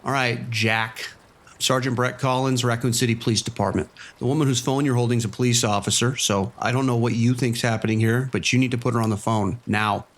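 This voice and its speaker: A man's voice: mid-range voice, fairly even intonation, a little fast, lightly acted. A man playing a stern police sergeant. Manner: firm and commanding. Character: firm male police sergeant negotiating. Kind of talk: audio drama acting.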